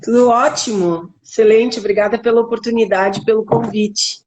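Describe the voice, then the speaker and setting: A woman with a southern Brazilian accent, a guest on an online interview. She speaks in a lively, chatty way and laughs easily. Her voice sits fairly high. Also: moderate pace, poor audio. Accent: Southern Brazilian (Gaúcho) Portuguese. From Serra Gaúcha, southern Brazil. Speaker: guest winemaker. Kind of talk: conversational interview.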